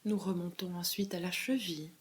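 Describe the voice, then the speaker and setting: A woman leading a guided meditation as a calm, measured guide, giving gentle step-by-step instructions with pauses. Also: lowish voice. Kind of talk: guided body scan meditation.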